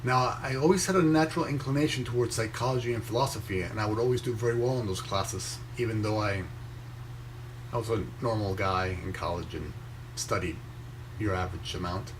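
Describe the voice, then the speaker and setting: A young man raised in Venezuela, reading a motivational post aloud and commenting on it in a slow, measured way with pauses between phrases. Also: lowish voice, a little hiss or hum, rough recording. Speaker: inspirational speaker reading his own post.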